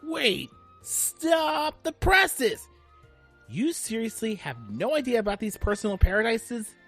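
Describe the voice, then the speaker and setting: A brash, excitable teenage girl explains things with a lively, bouncing voice, as voiced by a woman. Faint music runs under her lines. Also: fairly high pitch, slow, slightly rough, exaggerated voice. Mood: excited.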